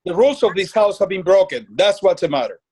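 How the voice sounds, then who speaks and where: A man's voice: sits high, expressive, fast talker, a little mellow, breathy edge. A man acting a tyrannical, angry father who is master of the house, in a play reading. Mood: furious.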